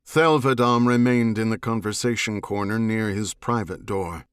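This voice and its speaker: A male storyteller reads science fiction prose with serious, dramatic weight. His voice sits fairly low and his phrasing is measured, captured in a very dry studio sound. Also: clean tone, dry recording. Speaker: audiobook narrator. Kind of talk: scripted narration of science fiction novel.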